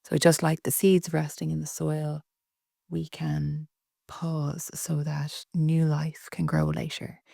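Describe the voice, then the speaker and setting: A woman leading a guided relaxation meditation in a soft, breathy, soothing way with long pauses. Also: low voice, monotone, no room sound, clean studio sound. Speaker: yoga nidra meditation guide.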